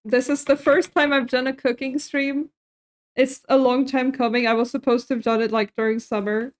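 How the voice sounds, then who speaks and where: High-voiced woman streaming while cooking. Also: level tone, moderate pace. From Sweden. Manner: chatty, laughs often, answers chat messages. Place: her home kitchen.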